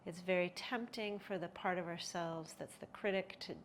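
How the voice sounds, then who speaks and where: A woman guiding a yoga nidra relaxation in a slow, soothing voice with soft pauses, sometimes dropping to a breathy hush. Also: lowish voice, fairly even intonation, a little hiss or hum. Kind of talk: guided relaxation.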